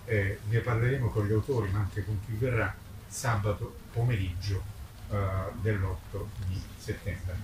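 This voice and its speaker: A man's voice: fairly low pitch, steady tone. A man giving an informal public presentation, emphatic and polemical, wandering through hesitations and digressions. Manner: halting, digressive delivery with drawn-out hesitations. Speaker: book publisher presenting a literary festival. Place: live public book presentation, recorded from a distance. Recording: distant mic, echoey, clearly noisy ambience.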